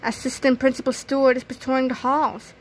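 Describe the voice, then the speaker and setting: A woman reading a children's graphic novel aloud, expressively, reading sound effects out loud as well. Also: sits high, little pitch movement, brisk, slight background noise. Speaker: reader.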